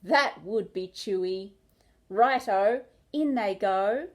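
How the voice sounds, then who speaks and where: A woman's voice: medium pitch, takes it slowly, close to an everyday voice. A woman voicing a greedy, boastful male dingo cook in a children's picture book, gloating and sing-song. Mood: gleeful, boastful.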